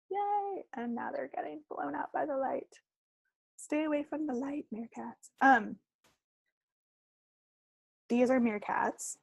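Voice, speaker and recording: A woman's voice: fairly high pitch, gravelly, husky, no echo, rough recording. A woman co-hosting a casual podcast from home, chatty and playful in her delivery. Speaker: podcast co-host. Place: at home, recording an online call.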